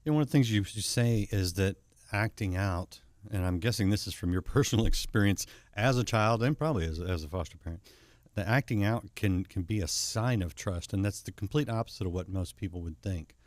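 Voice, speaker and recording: A male talk show host asks his guest thoughtful questions, often stopping and restarting mid-phrase. His voice is low, and the recording is dry and close. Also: fairly quick, no room sound. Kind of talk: interview conversation.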